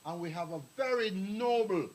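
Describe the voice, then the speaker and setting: A man's voice: high-pitched, moderate pace, a little mellow, low-quality recording. An older man giving a rambling, anecdotal address to a community meeting, calling on people in the room. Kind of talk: public address to an audience. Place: meeting hall.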